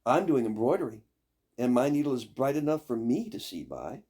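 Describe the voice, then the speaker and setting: A man's voice: mid-range voice, big pitch swings, moderate pace, mellow, breathy edge. An older man voicing selfish young stepsisters in a storybook, emphatic, whining and petulant. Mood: petulant, smug.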